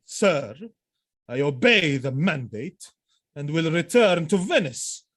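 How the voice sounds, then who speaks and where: A man playing a jealous, enraged noble commander and husband in a Shakespearean audio drama, theatrical and volatile, with a rough husky voice. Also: a little high, sing-song, slow, exaggerated voice. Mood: strained formality. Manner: theatrical, volatile, swinging from hushed to shouting.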